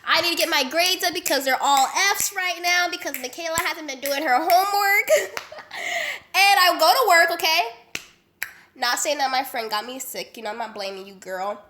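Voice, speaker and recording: A young woman with a high voice tells a story in a casual vlog. Her voice is bright, with a stuffy, nasal sound from a cold. She talks in a chatty, animated way, with plenty of slang and fillers. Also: normal speed, slight background noise. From Las Vegas. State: sick, congested and nasal. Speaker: vlogger.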